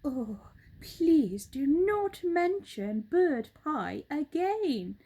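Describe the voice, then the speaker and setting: A woman's voice: sits high, sing-song, extremely slow, mellow, pitched above the performer's own voice, heavily acted. A woman voicing a fussy, dramatic roly-poly bird from a children's storybook, exclaiming with feeling. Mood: squeamish.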